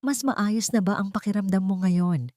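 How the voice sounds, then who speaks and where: A woman voicing a scheming high-society wife who speaks with breezy, affectionate innocence in an audio drama. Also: moderate pace, a little mellow, no echo, studio quality, lightly acted. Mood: sweetly affectionate.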